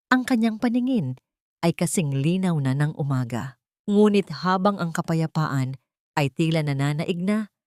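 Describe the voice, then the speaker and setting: A Filipino woman narrates a scripted audio drama in a slightly low voice. Her delivery is measured, literary and sentimental. The sound is dry and close, like a studio voice-over. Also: darkish tone, no room sound. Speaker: storyteller.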